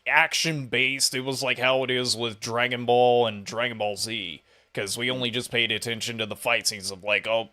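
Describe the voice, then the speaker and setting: Bright-voiced man hosting a casual chat. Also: medium pitch. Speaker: podcast interviewer and host.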